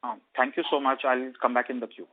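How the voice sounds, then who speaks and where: A man's voice: medium pitch, little pitch movement, fast talker. A man with an Indian accent politely putting questions as an analyst on an earnings call. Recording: narrow telephone conference line.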